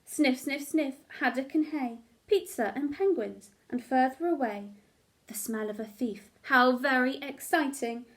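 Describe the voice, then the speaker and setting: A woman with a high voice reads a picture book aloud as a teacher would to young children. Her delivery is dramatic and expressive, shaped for small listeners. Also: measured pace. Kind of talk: expressive storybook narration.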